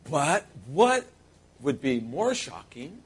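A man preaching a sermon in short phrases, pausing after each one for an interpreter. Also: a little high, sing-song, husky. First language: English. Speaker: guest preacher.

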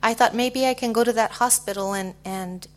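Woman, middle-aged, American accent, medium pitch, personal testimony, pauses between short phrases. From United States. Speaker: guest speaker giving testimony.

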